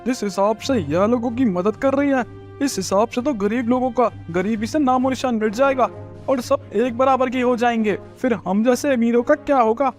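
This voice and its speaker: A man playing a scheming, spiteful rich villager in an animated moral story, with an accusing, theatrical delivery. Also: very high voice, moderate pace, soft background music.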